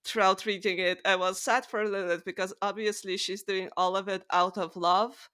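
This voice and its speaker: A woman's voice: mid-range voice, fairly even intonation, moderate pace, bright, forceful tone, clean tone. A woman co-hosting a podcast, talking through books in a casual, opinionated way. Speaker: podcast co-host. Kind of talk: casual book discussion.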